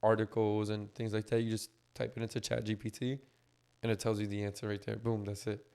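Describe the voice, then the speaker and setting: Hoarse man with a flat delivery. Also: lowish voice, a little fast. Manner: laid-back, explains with many fillers. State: hoarse, recovering lost voice. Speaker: podcast co-host. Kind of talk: casual podcast chat.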